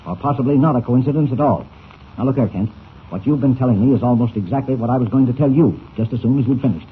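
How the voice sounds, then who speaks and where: A man's voice: medium pitch, fast, phone-quality sound, natural voice. A brusque older newspaper editor, played by a man, emphatic and impatient, rattling out clipped phrases in an old radio serial. The recording is thin, with some hiss and crackle. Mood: grave, intrigued. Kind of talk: 1940s radio serial acting.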